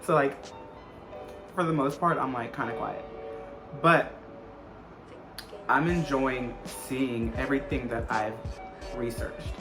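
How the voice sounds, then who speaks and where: A man's voice: mid-range voice, fairly even intonation, slow, long pauses, low-quality recording. Casual young American man over music. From United States. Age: 20s. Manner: rambling, repeats phrases for emphasis. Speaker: vlogger answering viewer questions. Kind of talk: casual Q&A chat to camera. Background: background music.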